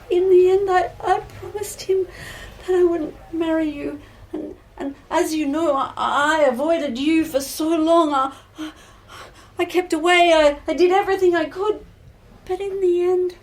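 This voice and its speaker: Woman acting a high-voiced, emotional young bride. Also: a little mellow, low-quality recording. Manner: halting, stammering, emotional. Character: tearful, remorseful young bride confessing to her beloved.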